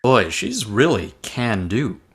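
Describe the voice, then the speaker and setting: A man's voice: medium pitch, sing-song, slow pace. A man playing a well-meaning, eager ghost with an earnest manner in a comedy table read. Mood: hopeful.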